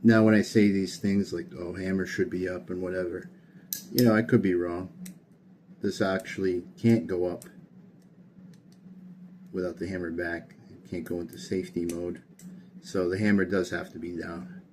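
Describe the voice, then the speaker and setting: A tired man casually talking through a hands-on workshop build, monotone and rambling with plenty of fillers. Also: low voice, normal speed, pauses often, a little hiss or hum. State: tired late at night. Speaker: hobbyist gunsmith. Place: home workshop.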